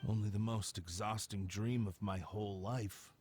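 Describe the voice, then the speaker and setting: An actor playing a weary, bewildered ordinary man with a husky voice in a video game cutscene. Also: fairly low pitch, steady tone, normal speed, darkish tone, slight background noise, natural voice.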